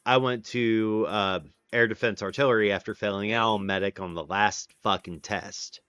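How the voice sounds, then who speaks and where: A man's voice: fairly low pitch, fairly even intonation, normal speed, fairly bright tone, clear, resonant tone, no room sound, clean studio sound. A man in his late thirties hosting a casual stream, rambling with lots of fillers and restarts. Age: 30s. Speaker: livestream host. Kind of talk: casual chat with viewers.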